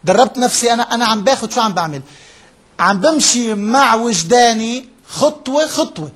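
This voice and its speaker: Male workshop trainer, higher voice, slightly husky, talks fast, keeps checking the room understands. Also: animated, fairly bright tone. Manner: explains step by step, checks in with listeners often; drops English terms into his speech. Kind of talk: interactive lecture to a live group.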